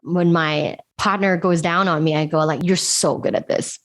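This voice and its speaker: A woman's voice: fairly low pitch, steady tone, moderate pace. A woman from Thailand, a guest sex educator, talking candidly in a casual interview, with lots of fillers and frequent laughs. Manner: candid, chatty, laughs often, many fillers.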